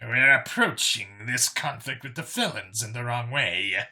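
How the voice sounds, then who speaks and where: A man's voice: medium pitch, animated, measured pace, sharp tone, exaggerated voice. A man voicing an eager, callous male alien councilor who speaks in a pompous, emphatic way in an audiobook story.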